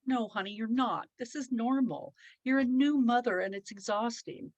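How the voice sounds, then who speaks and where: A woman reading a gentle, soothing husband. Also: fairly high pitch, normal speed, no room sound, highs cut off, pitched above the performer's own voice, close to an everyday voice. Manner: soft, soothing, reassuring. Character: calm, gentle older husband and father.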